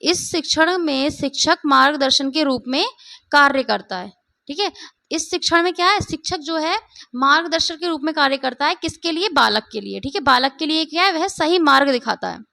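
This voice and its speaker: Hoarse woman teacher, high gravelly voice. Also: expressive, normal speed, pushed and bright, dry recording. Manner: repeats points, keeps asking "ठीक है?". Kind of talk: exam-prep lecture.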